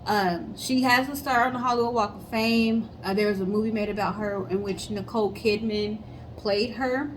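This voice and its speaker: A woman's voice: mid-range voice, fairly even intonation, normal speed, slight background noise, rough recording. A woman co-hosting a casual storytelling show, chatting conversationally and speaking straight to viewers. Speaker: co-host of a storytelling guessing show.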